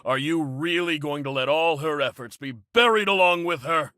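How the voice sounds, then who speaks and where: A man's voice: fairly high pitch, normal speed, sharp tone, no echo. A man voicing a bitter, manipulative faction leader in an anime game, theatrical and full of pointed questions. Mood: scornful, rousing.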